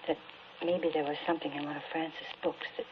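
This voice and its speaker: An actress as a desperate widow, muffled noisy recording. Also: female voice, deep voice, little pitch movement, quick pace, natural voice. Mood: subdued, calm. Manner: melodramatic, intense, swinging from hushed murmur to pleading outburst. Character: grieving young widow, desperate and obsessively devoted to her dead husband. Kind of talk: 1940s radio drama acting.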